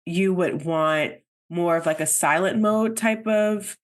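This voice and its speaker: A woman's voice: fairly low pitch, takes it slowly. A young adult woman hosting an interview, chatty and enthusiastic, with lots of likes and giggles. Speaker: interview host. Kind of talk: casual interview chat.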